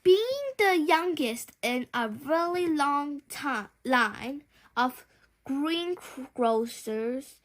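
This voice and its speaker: A woman reading a children's bedtime story aloud, haltingly, with small stumbles and repeated words. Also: high-pitched, animated.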